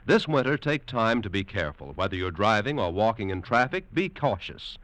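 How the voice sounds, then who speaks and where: An American man announcing formally in scripted mid-century radio style, with measured, emphatic phrasing. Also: on the low side, big pitch swings, fairly bright tone, no echo.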